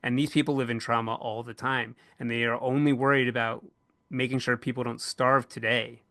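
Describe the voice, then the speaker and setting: A man co-hosting a casual panel discussion, thinking aloud in a halting way and often repeating words as he goes. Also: mid-range voice, little pitch movement, pushed and bright, no echo. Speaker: podcast co-host moderating a panel.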